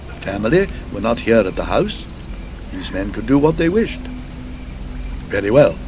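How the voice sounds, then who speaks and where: A man voicing a reluctant Swedish innkeeper who tells a grim tale in a slow, halting, emphatic way, in an audiobook. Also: on the low side, very animated, noisy background. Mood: ominous. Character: Swedish innkeeper, reluctant, grave, warning storyteller.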